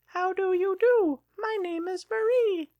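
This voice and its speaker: A man voicing a tiny, polite female wind-up doll in a high artificial falsetto for a dramatic audiobook. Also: unhurried, no echo, dull audio. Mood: polite, mechanical. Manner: polite, formal.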